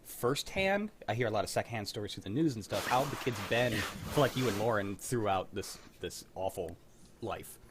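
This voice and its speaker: Man, lowish voice, casual chat host, faintly noisy online call. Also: lively intonation, fairly quick. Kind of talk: casual chat, asking questions.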